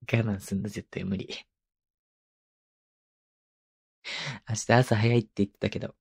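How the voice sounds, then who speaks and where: A man playing a tender, clingy, teasing young husband in an intimate ASMR voice drama, speaking softly and playfully close to the microphone. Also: on the low side, fairly even intonation, moderate pace. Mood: coaxing, intimate. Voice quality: soft, breathy. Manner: murmuring, playful, coaxing. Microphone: close microphone, binaural.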